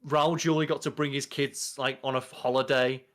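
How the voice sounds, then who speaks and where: A young man co-hosting a podcast in casual chat, talking in an animated, profane way. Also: mid-range voice, a little fast. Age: young adult. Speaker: podcast co-host. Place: bedroom, on a video call.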